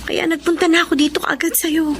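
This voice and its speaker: An actress playing an outspoken, protective elder sister in a radio drama. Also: female voice, extremely high pitch, little pitch movement, brisk, slight background noise. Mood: stern concern. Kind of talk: Tagalog radio drama acting.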